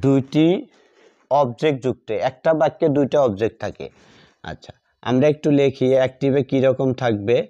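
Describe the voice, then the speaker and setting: A man teaching English grammar, repeating words slowly as he writes them out. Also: mid-range voice, warm tone. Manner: slow, repeats words while writing them out. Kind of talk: slow classroom explanation while writing. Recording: dull lo-fi audio.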